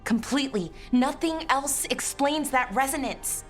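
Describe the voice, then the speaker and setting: An actress playing an anxious, earnest young magic researcher in a video game. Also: female voice, sits high, a little slow. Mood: worried, urgent. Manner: earnest, urgent, apologetic. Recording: faint music behind the voice.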